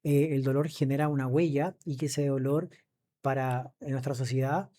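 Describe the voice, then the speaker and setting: A man's voice: mid-range voice, steady tone, moderate pace, mellow. A man hosting a conversational interview, speaking effusively and emphatically and often addressing the audience. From Chile. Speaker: podcast host.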